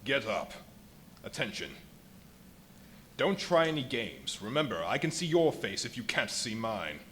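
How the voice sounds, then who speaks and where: A man's voice: mid-range voice, sing-song, unhurried, sharp tone. A man playing a menacing invisible villain in a live radio play, giving curt, commanding threats. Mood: cold menace. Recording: live stage recording, faint noise.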